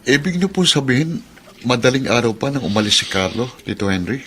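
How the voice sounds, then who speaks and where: An actor playing a teasing yet respectful young cousin in a radio drama. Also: male voice, medium pitch, big pitch swings, brisk, fairly bright tone, faint music behind. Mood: curious, teasing. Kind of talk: Filipino radio drama acting.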